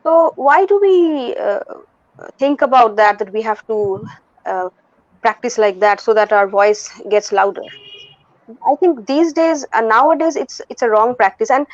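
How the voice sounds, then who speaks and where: Soft-voiced Indian woman in her forties. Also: a little high, a little slow, dry recording. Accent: Indian English. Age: 40s. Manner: thoughtful, hesitant with many fillers and restarts. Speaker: guest vocalist and music teacher. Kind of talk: candid conversational interview. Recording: dull lo-fi dry recording.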